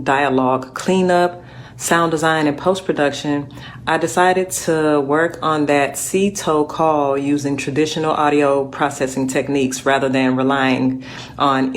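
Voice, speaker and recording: A woman explains technical work in a social media video as an audio engineer. Faint noise sits behind her voice in the clip. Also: sits low.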